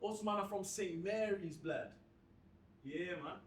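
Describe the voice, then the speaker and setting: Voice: medium pitch, unhurried, pitched below the performer's own voice, lightly acted. A performer voicing a cocky, menacing teenage street lad in a comic story, with slangy, mocking, swaggering talk. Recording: roomy, distant mic with faint noise.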